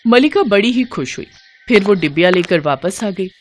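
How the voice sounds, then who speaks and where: A woman's voice: medium pitch, animated, moderate pace. Female storyteller with music behind. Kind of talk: scripted children's story narration.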